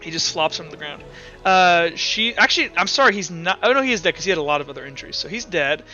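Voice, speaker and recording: A man narrating a tabletop roleplaying game as game master in an animated, casual, profane way. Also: sits high, fast, lo-fi sound, faint music behind.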